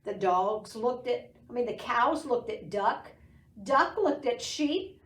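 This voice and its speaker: Middle-aged woman, storytime reader, slow pace, expressive lilt, slightly high voice, roomy distant recording. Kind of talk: expressive picture-book reading for young children. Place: indoor library room. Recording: roomy, voice away from microphone.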